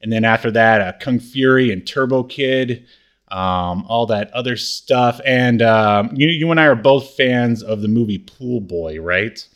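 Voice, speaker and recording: A man co-hosting a podcast, chatting casually and thinking aloud in a halting way. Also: mid-range voice, a bit bright. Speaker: podcast co-host. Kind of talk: casual chat about obscure media.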